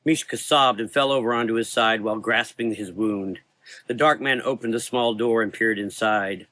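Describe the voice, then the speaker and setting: A man's voice: on the low side, moderate pace, fairly bright tone, dry recording. A man narrating a gothic horror story in a dramatic, scripted storytelling style.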